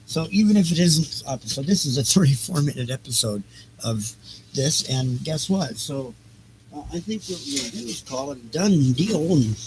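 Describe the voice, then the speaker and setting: Male host, mid-pitched, informal rambling talk over some background noise. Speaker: show host. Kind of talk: casual, rambling sign-off chat.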